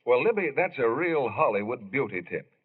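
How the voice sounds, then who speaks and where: Man, old-time radio pitchman, emphatic, lively melody, medium pitch, muffled recording. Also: normal speed, slightly rough. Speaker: radio announcer. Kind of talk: 1940s radio announcing and ad read.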